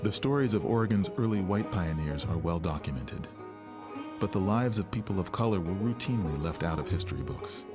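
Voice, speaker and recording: A man's voice: deep voice, expressive, a little fast. A man reading scripted documentary narration as the narrator. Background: plucked guitar music.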